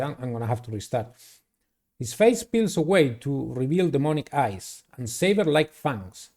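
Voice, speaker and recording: A man reading a visual novel aloud as a playthrough, with dramatic, theatrical storytelling. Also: fairly high pitch. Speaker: game player reading aloud.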